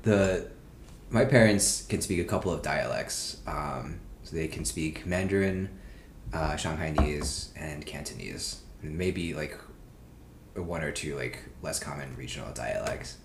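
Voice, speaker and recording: A man's voice: rumbling low, measured pace. A man hosting a casual chat, talking in a laid-back, rambling way with lots of fillers. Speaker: host. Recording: echoey room with faint noise.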